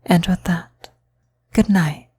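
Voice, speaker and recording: A woman ASMR creator addressing her viewers in a breathy, husky near-whisper. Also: lowish voice, slow, mellow, no echo. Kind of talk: whispery ASMR video sign-off.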